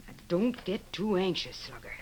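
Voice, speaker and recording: A woman's voice: fairly low pitch, big pitch swings, darkish tone, a little hiss or hum. An actress playing a shrewd, commanding female cafe owner and gang boss in a 1950s radio western. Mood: conspiratorial. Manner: brisk, commanding, folksy.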